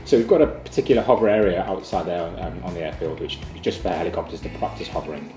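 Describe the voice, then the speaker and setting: A man's voice: deep voice, quick pace, reverberant, music in the background. A man working as a flying instructor, explaining things conversationally in an interview.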